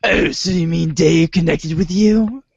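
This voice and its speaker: A woman playing a naive, casual teenage boy hero in amateur reader's theater, hesitant and deadpan. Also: fairly low pitch, unhurried, vocal fry, slightly rough, no room sound, exaggerated voice. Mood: puzzled. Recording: dry, dull lo-fi recording.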